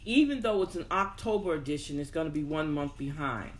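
Casual motivational host, mid-pitched voice. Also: expressive, fairly quick. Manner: informal, thinking aloud, repeats words for emphasis. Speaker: host of a personal development show. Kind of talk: casual motivational talk to an audience.

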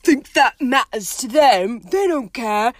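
A woman voicing a big, rough-spoken, soft-hearted and despairing gamekeeper man in an audiobook, with drawn-out, emotional speech. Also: a little high, expressive, no room sound, clean studio sound, pitched above the performer's own voice. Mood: anguished, bitter. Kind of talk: audiobook character voice.

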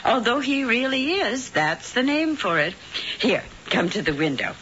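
A sly, fussy landlady in an old radio mystery, coy and sweetly sinister. Her voice swoops around with a bright, sharp edge, on a hissy, muffled recording. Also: fairly high pitch, big pitch swings, unhurried. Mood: sweetly coaxing.

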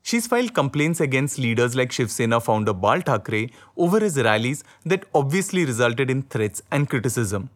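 A man's voice: medium pitch, big pitch swings, normal speed, few pauses. A man, an interviewer reading scripted journalistic narration.

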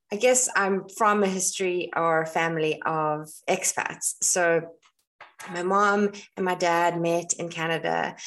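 Lower-pitched South African woman, chatty podcaster. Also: a bit bright. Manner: conversational, explanatory. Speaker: podcast host and astrologer. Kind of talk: casual solo podcast talk.

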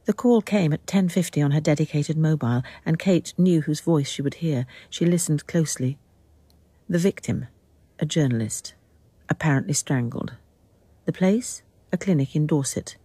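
An actress as a calm, detached narrator of a radio drama, with measured literary delivery. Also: female voice, deep voice, breathy edge, dry recording. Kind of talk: scripted third-person narration, radio drama.

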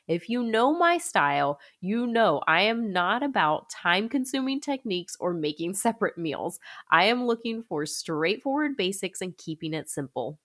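A woman hosting a podcast, congested and a little stuffy from a recent illness, talking in an upbeat, chatty way with frequent laughs. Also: mid-range voice. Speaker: podcast host. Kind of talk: casual podcast chat and scripted intro.

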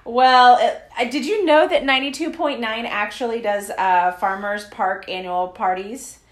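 A middle-aged mother co-hosting a casual chat podcast, teasing and chatty, often pausing on fillers. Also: on the high side. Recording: echoey room.

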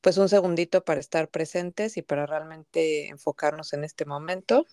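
Soft low-voiced woman, podcast host. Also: steady tone, normal speed, noisy background. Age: 40s. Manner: hesitant, with many fillers and laughs. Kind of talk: casual podcast conversation.

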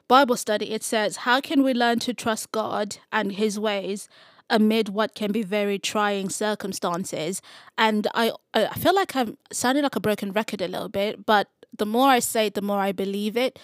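A woman's voice: mid-range voice, dry recording, clean studio sound. A woman co-hosting a casual Bible study chat, speaking earnestly and reflectively with playful asides.

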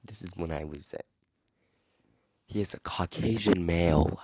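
A man's voice: low-pitched, a little slow, a little mellow, slightly rough, no room sound. A young man hosting a podcast, telling a story in a rambling, filler-heavy, indignant way. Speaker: podcast host. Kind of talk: casual storytelling monologue. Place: outdoors in wind.

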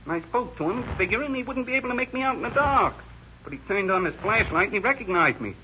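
An actor playing a defiant, evasive young small-time crook with street-tough slang in 1930s radio crime drama. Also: male voice, high voice, expressive, fast talker, bright, forceful tone. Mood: pleading, confessing. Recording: muffled, noisy old radio recording.

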